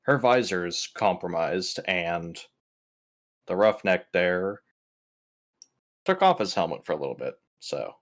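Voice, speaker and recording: A man's voice: low-pitched, sing-song, takes it slowly, long pauses, a bit bright. A man playing in a tabletop roleplay, talking casually in character with a questioning, dryly wry manner.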